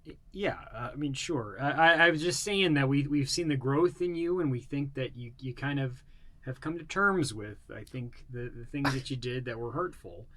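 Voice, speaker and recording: A mild, middle-aged suburban dad voiced by a man in a comedic audio drama, with a medium-pitched voice in a dry recording. He is earnest and forgiving, feeling his way through sentences with folksy, stammering hesitation. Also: expressive, normal speed, fairly bright tone, no room sound. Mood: awkward, kindly.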